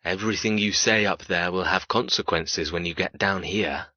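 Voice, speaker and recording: Man acting a cold, forceful, menacing captor. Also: low voice, moderate pace, dry recording, muffled recording. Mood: cold, warning. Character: cold, menacing male captor, cruel and taunting. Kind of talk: audiobook dramatic dialogue.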